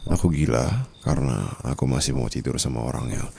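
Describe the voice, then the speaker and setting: A man with an Indonesian accent playing a dominant, teasing, possessive rich husband in an intimate ASMR roleplay, speaking softly and slipping in English endearments. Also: very deep voice, gravelly, slightly rough, heavily acted. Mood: quietly menacing. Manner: soft, slow, mixes in English endearments.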